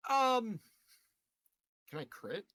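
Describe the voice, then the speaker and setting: A man's voice: sits high, sing-song, very slow, sharp tone, no room sound, studio quality. A man playing a tabletop roleplaying game, talking casually and jokingly about his moves. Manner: jokey, playful.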